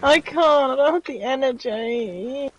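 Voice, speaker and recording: A woman in her forties, hoarse and losing her voice, chatting playfully and teasing while gaming on a stream. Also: high voice, unhurried, highs cut off, a little hiss or hum. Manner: playful, teasing, coos at animals. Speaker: streaming co-player. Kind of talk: casual chat while gaming.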